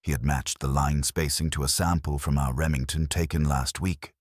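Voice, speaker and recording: Very deep-voiced man narrating detective fiction. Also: fairly even intonation, normal speed, no echo, clean studio sound. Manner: wry, first-person hardboiled storytelling. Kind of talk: scripted audiobook narration of a detective story.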